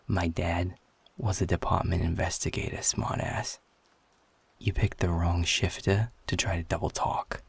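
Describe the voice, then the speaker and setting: A man playing a guarded, sarcastic, defiant werewolf in an intimate audio roleplay, talking bluntly with a husky, gravelly voice. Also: low voice, no echo, full character voice. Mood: sarcastic, wary. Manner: sardonic, blunt, swearing, confrontational.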